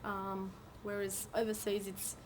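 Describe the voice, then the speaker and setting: Reflective woman, flat steady voice. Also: mid-range voice, measured pace, noisy background. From overseas, moved to Australia as a child. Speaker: community member. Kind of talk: casual interview.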